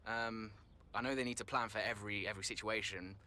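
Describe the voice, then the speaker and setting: A man's voice: fairly low pitch, steady tone, quick pace, sharp tone. An actor playing a guarded, dryly wry male racing driver who gives hesitant, diplomatic interview answers in a game. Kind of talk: post-session interview answers in a game cutscene.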